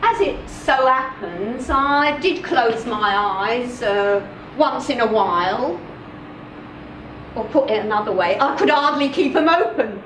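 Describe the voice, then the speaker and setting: A woman performs a first-person story in a high voice, expressive and deliberate, leaving measured pauses between phrases. She is recorded at a distance in an echoing room, with clear background noise. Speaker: reader of a short story. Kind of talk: dramatic first-person story reading, live literary performance.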